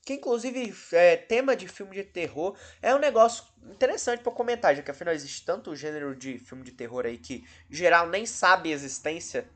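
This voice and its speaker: A man's voice: high voice, animated, brisk, few pauses, fairly bright tone. A Brazilian man hosting a video commentary, talking casually in a rambling, self-interrupting, dryly sarcastic way. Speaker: host of a video channel about horror cases.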